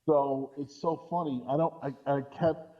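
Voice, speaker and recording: A man's voice: on the high side, steady tone, unhurried, lo-fi sound. A man in a casual podcast discussion, telling things in a rambling way with repeated false starts. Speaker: podcast guest co-commentator.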